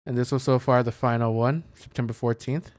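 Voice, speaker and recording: A man's voice: medium pitch, fairly even intonation, brisk, a little mellow, no echo. A man streaming a game with casual, rambling commentary, thinking aloud as he searches for words and reads things out. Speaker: video game streamer and host.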